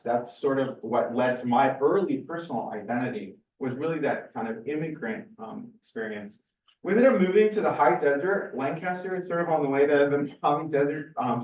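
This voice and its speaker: A man's voice: medium pitch, a little mellow. A man in his forties from California speaks as a scientist giving an informal, personal lecture in a conversational way, often correcting himself. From California, USA. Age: 40s. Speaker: research scientist giving a seminar. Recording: roomy, distant, muffled lecture recording.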